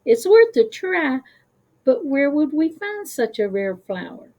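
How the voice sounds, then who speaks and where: A woman's voice: sits high, sing-song, unhurried, a little mellow, pitched above the performer's own voice, exaggerated voice. A woman voicing an eager, kind young sloth child in an earnest storybook manner. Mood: hopeful.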